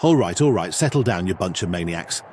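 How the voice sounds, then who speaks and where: A man's voice: sits low, animated, fairly quick, heavy background noise. Man as a laid-back wrestling brand boss. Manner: laid-back drawl, slangy, blunt. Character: swaggering male wrestling brand boss, blunt and commanding. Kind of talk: wrestling promo acting.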